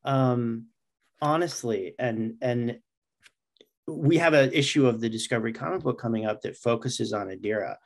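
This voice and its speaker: A middle-aged man with an average-pitched voice speaks as an interview guest. He is earnest and conversational, often pausing to restart, over a video call. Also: vocal fry. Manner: halting, earnest. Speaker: interview guest, comic writer.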